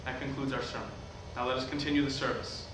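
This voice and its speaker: A man's voice: mid-range voice, little pitch movement, fast talker, natural voice. A man acting an earnest, weary megachurch pastor in a staged play reading. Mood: earnest preaching. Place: theatre at a live staged reading. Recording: echoey, noisy hall recorded from far off.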